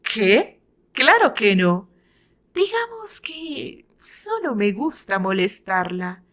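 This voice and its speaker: A woman's voice: mid-range voice, big pitch swings, takes it slowly, fairly bright tone, full character voice. Cocky young heartthrob played by a female narrator, mocking and boastful, for a romantic audio story. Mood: dismissive.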